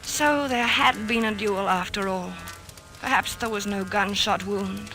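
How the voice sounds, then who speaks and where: An actress playing a worried, pleading wife in an old-time radio mystery, with dramatic, earnest delivery. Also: female voice, medium pitch, a little slow, bright, forceful tone, soft background music. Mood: haunted narration. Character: worried, pleading wife recalling her husband's strange death.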